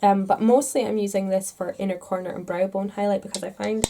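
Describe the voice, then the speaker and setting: A woman's voice: medium pitch, flat delivery, a little fast, creaky, mellow. A woman vlogger talking casually to the camera in a chatty, rambling, self-deprecating way, a little congested with a stuffed-up nose. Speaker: makeup vlogger.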